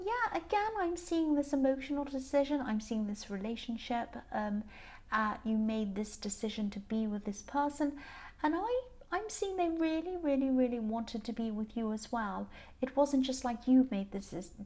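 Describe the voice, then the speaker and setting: A woman with a slightly croaky, tired voice giving a casual tarot reading, gentle and meandering with many ums and restarts. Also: a little high. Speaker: tarot reader.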